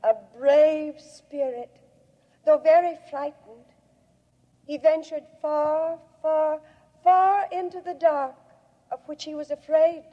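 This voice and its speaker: An actress playing a grieving, proud alien woman in a 1950s radio drama, speaking in a solemn, measured way. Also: high voice, steady tone. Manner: slow, solemn, measured delivery. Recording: muffled old radio recording, long cavernous reverb.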